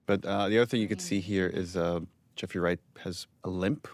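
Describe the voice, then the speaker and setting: A man's voice: low-pitched, fairly even intonation, fairly quick, dry recording. A man giving casual, thoughtful behind-the-scenes commentary as a game developer.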